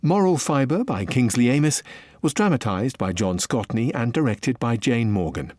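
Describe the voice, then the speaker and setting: A man's voice: medium pitch, animated, moderate pace, a little mellow, no echo, clean studio sound. A man reading scripted credits as a radio announcer.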